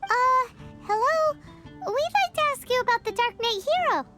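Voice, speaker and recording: A woman voicing a tiny, excitable floating girl companion in a video game who is chirpy and blunt and teases people. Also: very high-pitched, moderate pace, heavily acted. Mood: skeptical. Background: game music.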